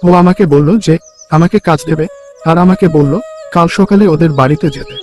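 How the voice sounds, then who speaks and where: A man's voice: a little high, a little fast, darkish tone, faint music behind, natural voice. A man playing a poor young day labourer in a cartoon, dutiful and worried, speaking earnestly and respectfully. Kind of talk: Bengali cartoon story dialogue.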